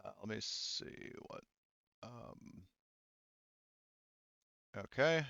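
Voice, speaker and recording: A man narrating as game master in a casual tabletop roleplaying session, thinking aloud haltingly and swearing mildly. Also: fairly low pitch, animated, creaky, fairly bright tone, no echo, lo-fi sound.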